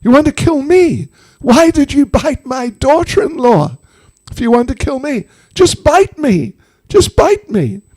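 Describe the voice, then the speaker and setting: Man putting on a shrill, frantic old woman. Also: sing-song, measured pace, dark tone, exaggerated voice. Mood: defiant. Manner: shrill, imploring, insistent repetition. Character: cranky, frantic old mother-in-law, pleading woman.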